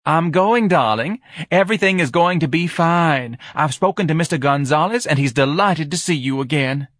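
A man's voice: fairly high pitch, animated, normal speed, fairly bright tone, no echo, studio quality, pitched above the performer's own voice. A man voicing a melodramatic, self-righteous middle-aged wife in an audiobook, grandly reproachful and scornful. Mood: theatrical, gushing. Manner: overwrought, martyred, grandly reproachful.

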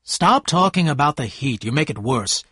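A jealous, domineering husband from a storybook, acted out by a male narrator. He is loud and accusing, with a husky edge and big, forceful swings in pitch. The recording is close and dry. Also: animated, normal speed, dry recording. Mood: irritated. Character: bad-tempered, domineering jealous husband, adult man.

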